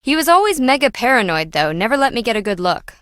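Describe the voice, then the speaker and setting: A woman's voice: on the high side, big pitch swings, fast, a bit bright, clear, resonant tone, no room sound, studio quality. A woman playing a brash, self-important treasure hunter in a video game, sarcastic and slangy, prone to flippant, dramatic complaining. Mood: griping, exasperated. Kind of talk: video game character dialogue.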